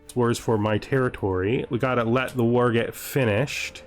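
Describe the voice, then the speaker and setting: A man's voice: mid-range voice, steady tone, normal speed, soft background music. A man hosting a gaming video, thinking aloud in casual commentary and playfully gloating with in-character asides.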